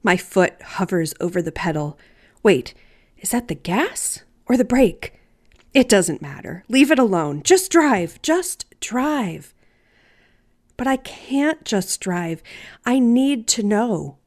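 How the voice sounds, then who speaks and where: A woman storyteller reading a personal story aloud in a deliberate, theatrical, wryly humorous way. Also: mid-range voice, sing-song, a little mellow. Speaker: writer and storyteller.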